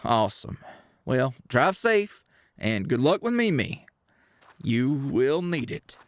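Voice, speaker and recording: Man playing a slow-talking, lilting Southern handyman. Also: mid-range voice, sing-song, no room sound. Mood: friendly, cheerful. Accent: American Southern. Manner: folksy, easygoing, chatty. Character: friendly, charming small-town male handyman. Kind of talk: ASMR roleplay acting.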